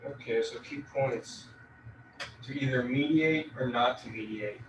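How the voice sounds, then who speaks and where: A man giving a relaxed real estate lecture, leaning on fillers as he explains. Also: medium pitch, unhurried. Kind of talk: casual classroom lecture. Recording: echoey room, distant mic, noisy.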